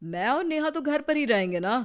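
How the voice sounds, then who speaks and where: A woman's voice: sits high, lively intonation, brisk. A woman voicing a mild, reassuring young husband in a cartoon story.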